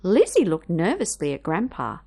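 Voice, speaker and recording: A woman reading a children's story aloud with theatrical, emphatic, drawn-out phrasing. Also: lowish voice, wildly swooping pitch, vocal fry, darkish tone, husky.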